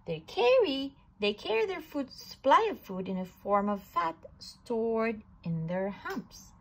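A woman reading a children's picture book aloud, slowly and with animated delivery. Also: a little high, rough recording. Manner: slow, animated storytelling with occasional restarts. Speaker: storybook reader.

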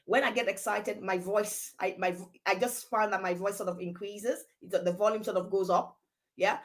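Emphatic Nigerian woman on a video call. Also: mid-range voice. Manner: emphatic, repeats "okay?" for stress. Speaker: guest. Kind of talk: passionate discussion. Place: home room with bookshelf. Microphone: video call webcam.

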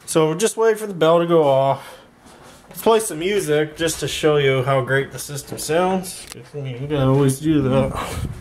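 A man's voice: a little high, moderate pace, darkish tone, a little hiss or hum. A young man giving a casual, handheld video demonstration as the host of a home vlog. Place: bedroom and home workshop. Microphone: handheld camera or phone, held by the speaker.

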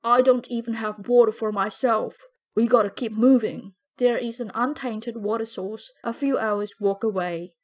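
A woman's voice: a little high, fairly even intonation, moderate pace, natural voice. A woman voicing a curt, temperamental militiaman in an audiobook, with a harsh, commanding, scornful manner. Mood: angry, scornful. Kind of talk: audiobook character voice.

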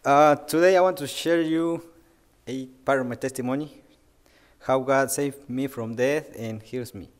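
A man's voice: mid-range voice, fairly even intonation, a little slow. A young man from Guatemala, speaking English as his third language, telling a personal testimony hesitantly at a church pulpit. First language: not English, third language. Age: young adult. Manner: hesitant, with fillers and non-native grammar. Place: church sanctuary, at a wooden pulpit. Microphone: pulpit mic. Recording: long reverb of a church hall.

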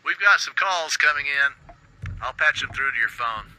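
A man's voice: fairly high pitch, animated, moderate pace, bright, forceful tone, rough recording. An actor playing a sarcastic, condescending radio station boss in a video game, belittling as he rambles through instructions.

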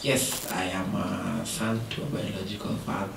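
A man's voice: low-pitched, fairly even intonation, normal speed, gravelly, distant mic. A young Kenyan man chatting relaxedly as a studio guest, dropping in Swahili words, seated at a keyboard and talking into a stand microphone. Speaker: guest musician. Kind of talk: casual interview chat. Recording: roomy studio, voice a little off mic, noisy.

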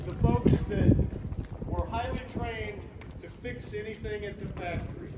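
Middle-aged man, tour guide, higher voice, loud outdoor noise behind. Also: a little slow. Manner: steady, informative, with friendly asides. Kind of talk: guided walking tour explanation. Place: outdoors at a demolished factory site.

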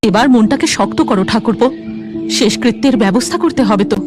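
A woman's voice: fairly high pitch, quick pace, over music, natural voice. A woman voicing a bossy but caring village mother in a cartoon, firm and instructing. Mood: stern. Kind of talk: cartoon voice acting.

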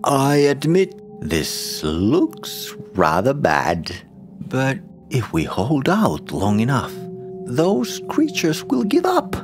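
A man's voice: medium pitch, huge pitch swings, slow pace, music in the background, voice pushed up, exaggerated voice. A man voicing a stubborn, greedy farmer in an audio drama folktale, whining and self-justifying. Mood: self-righteous, pleading.

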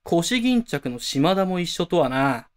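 A man playing a pompous, fanatical high school club president in a voice drama, theatrical, grandiose and indignant. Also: sing-song, takes it slowly. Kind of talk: amateur voice drama acting.

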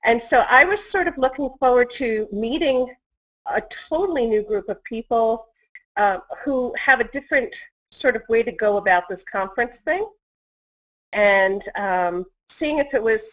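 A woman's voice: a little high, moderate pace. Female interview guest, middle-aged, conversational delivery, muffled internet-call sound. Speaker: interview guest, community director. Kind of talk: casual interview chat. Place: indoor room at home. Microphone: earbud headset on video call. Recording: dull, lo-fi video call audio.

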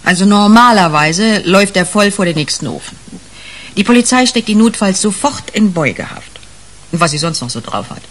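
A woman's voice: mid-range voice, animated, normal speed, close to an everyday voice. An actress in a 1980s German radio crime play, playing a shrewd, sardonic senior policewoman who talks bluntly and colloquially. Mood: conspiratorial. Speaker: actor in a radio crime drama.

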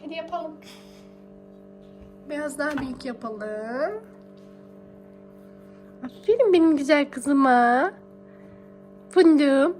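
High-pitched mother coaxing her little child. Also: slight background noise. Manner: affectionate, encouraging, uses pet names and repeats phrases. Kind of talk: casual coaxing talk to a small child while baking.

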